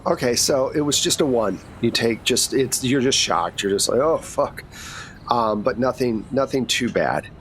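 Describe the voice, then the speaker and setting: A man's voice: mid-range voice, moderate pace, hardly any pauses, a bit bright, slight background noise. A man narrating a tabletop horror game as game master, with informal, descriptive storytelling.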